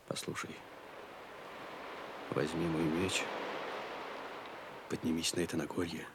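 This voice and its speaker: Very deep, weary dying king. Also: flat delivery, background noise. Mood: weary, tender. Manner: halting, solemn, tender. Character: dying old king, weary, grieving, gentle with his squire. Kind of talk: Soviet audio play acting.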